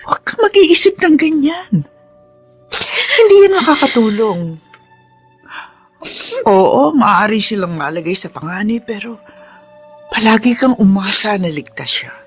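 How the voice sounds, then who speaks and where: Slightly husky, reassuring Filipino woman. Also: a little high, expressive, a little slow, long pauses, soft background music. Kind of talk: Filipino radio drama acting.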